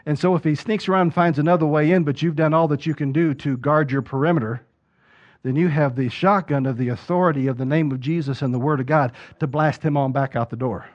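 A man preaching a sermon, building from steady talk to loud, emphatic peaks. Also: a little high, quick pace. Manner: rises to loud emphatic preaching peaks, acts out quoted voices. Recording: dull lo-fi sound.

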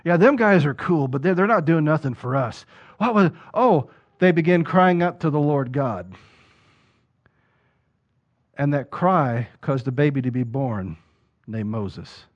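Preacher guy, kinda high voice, gets loud n punchy, muffled lo-fi audio. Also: animated, measured pace. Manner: rises to loud emphatic preaching peaks, acts out quoted voices. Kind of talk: sermon. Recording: dull lo-fi sound.

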